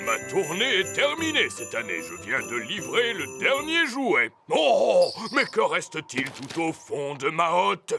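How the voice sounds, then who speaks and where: A man's voice: on the high side, wildly swooping pitch, measured pace, creaky, hardly any pauses, sharp tone, full character voice. An actor voicing a jolly old Father Christmas in a cartoon, hearty and laughing. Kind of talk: cartoon voice acting. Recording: cartoon soundtrack with music.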